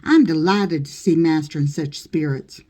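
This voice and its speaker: A woman voicing a foppish, self-important male servant in a storybook, full of airy flattery. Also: low voice, moderate pace. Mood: fawning. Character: foppish, fawning male servant, vain dandy.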